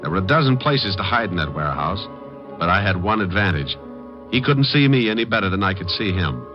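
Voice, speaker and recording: A tough, wisecracking private eye narrates his case in a low voice. The delivery is terse and deliberate, straight out of a hard-boiled radio serial. The sound is muffled and old, with music clearly behind it. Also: big pitch swings. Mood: tense, measured.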